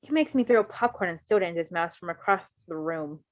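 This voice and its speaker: A woman voicing a calm, curious adult male superhero with composed, precise and formal phrasing in an amateur fan fiction reading. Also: on the low side, brisk, lo-fi sound, lightly acted. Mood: calm, easy.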